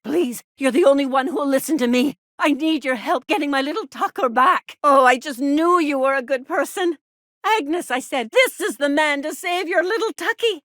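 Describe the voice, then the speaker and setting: Anxious smothering mom, high voice, melodramatic, doting and fussy, video game character, dry studio sound. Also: a bit bright, no echo. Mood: anxious pleading, then grateful. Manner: fussy, anxious, melodramatic, cooing baby talk. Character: overprotective, fretful, doting small-town mother, dramatic worrier.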